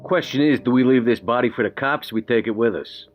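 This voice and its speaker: A man's voice: mid-range voice, brisk, soft background music, natural voice. A man playing a blunt, wary, streetwise vampire in a tabletop game, with terse, colloquial speech. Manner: plain-spoken, colloquial, terse. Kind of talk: tabletop roleplay in character.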